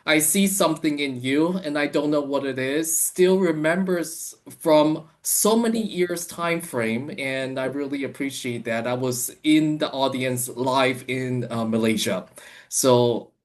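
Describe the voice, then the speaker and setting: Slow, halting man on a video call, lots of fillers. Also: medium pitch. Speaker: audience member. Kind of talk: casual question on a call.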